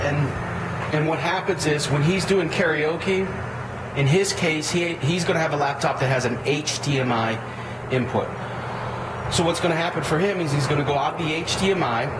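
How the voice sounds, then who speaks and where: A man's voice: a little high, moderate pace. A man giving an unscripted, plain-spoken, step-by-step tutorial as an audio equipment installer. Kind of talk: unscripted product tutorial, walkthrough. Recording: echoey room with clear background noise.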